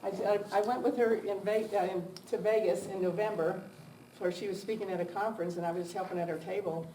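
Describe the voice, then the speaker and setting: A woman's voice: medium pitch, steady tone, a little fast, dark tone. Chatty woman in a very echoey room. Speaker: event host introducing a speaker. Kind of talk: informal spoken introduction. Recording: echoey room, distant mic, faint noise.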